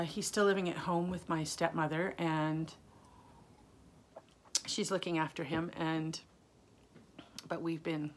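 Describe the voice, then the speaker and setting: Low gravelly lady rambling on her knitting podcast, lots of ums, still coughing a bit. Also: fairly bright tone, slight background noise. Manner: rambling, many ums and self-corrections. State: lingering chest cough. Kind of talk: casual solo podcast chat.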